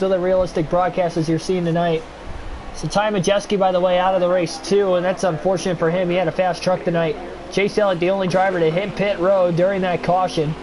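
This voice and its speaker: A young man calls a simulated stock car race like a broadcaster, his nose stuffy and his voice wearing thin. He gets excitable over the action and drops into chatty asides to his viewers. A steady hiss of background noise sits under his home mic. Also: high voice, rough recording. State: stuffy nose, voice getting worn. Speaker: streamer doing race commentary. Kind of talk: play-by-play sim racing commentary.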